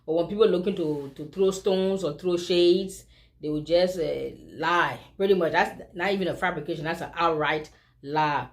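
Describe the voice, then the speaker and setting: A woman's voice: fairly low pitch, moderate pace. Liberian woman giving an emphatic opinion. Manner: emphatic, rhetorical questions to the viewer. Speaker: vlogger. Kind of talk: opinion commentary.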